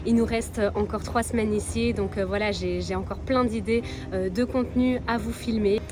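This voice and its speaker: A woman's voice: mid-range voice, fairly even intonation, normal speed. A woman from Belgium, chatting casually to viewers as a travel vlogger. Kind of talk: casual vlog chat to viewers.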